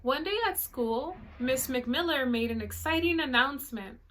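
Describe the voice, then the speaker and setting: High, bright-voiced woman reading storytime. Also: moderate pace. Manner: animated, checks in with listeners, explains words simply. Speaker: storytime librarian reading a picture book to children. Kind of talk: expressive read-aloud with explanatory asides for children.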